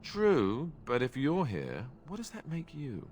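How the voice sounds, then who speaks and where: An actor playing a wry, sarcastic young warrior recruit and former templar in a fantasy video game. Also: male voice, mid-range voice, wildly swooping pitch, unhurried, a little mellow, a little hiss or hum. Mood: friendly, slightly awkward. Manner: sarcastic, glib.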